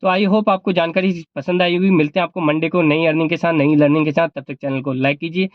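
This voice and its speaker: High-pitched, fast-talking man with a sore throat. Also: steady tone, no room sound, lo-fi sound. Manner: explanatory, repeats points. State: sore throat, not speaking at full volume. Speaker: vlogger and cab driver. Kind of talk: informal advice vlog talk.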